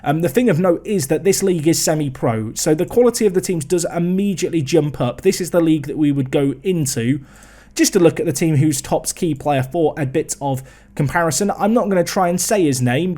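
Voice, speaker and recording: A young man talks through his football management save in a loose, chatty way. His voice sits a little high for a man, and he often pokes fun at himself as he goes. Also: brisk, warm tone. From England. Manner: chatty, self-mocking, trails off and restarts. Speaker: gaming video host. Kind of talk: casual game commentary.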